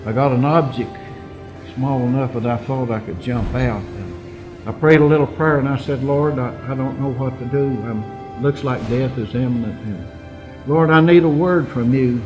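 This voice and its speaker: An older man, a war veteran, giving personal testimony in an earnest, deliberate way with dramatic pauses. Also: medium pitch, lo-fi sound. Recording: music under the voice.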